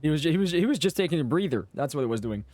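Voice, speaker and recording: A man's voice: fairly high pitch, sing-song, rapid-fire, a little mellow, no room sound. A man giving casual, playful live gameplay commentary as a streamer, often repeating his phrases. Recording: game music behind the voice. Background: video game music.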